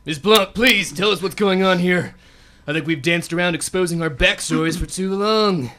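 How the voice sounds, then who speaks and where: A man's voice: sits high, moderate pace, pushed and bright. A man in a student radio drama playing a prim, formal detective who narrates and keeps sounding exasperated.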